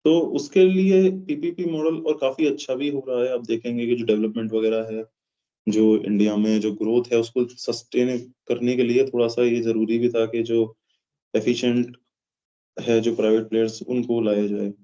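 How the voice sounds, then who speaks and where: A man with an Indian accent explaining things in a measured way as an interview guest, pausing mid-phrase. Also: medium pitch, little pitch movement, warm tone. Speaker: interview guest, aviation professional. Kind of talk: explanatory interview answers in Hindi mixed with English. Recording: dull, lo-fi sound.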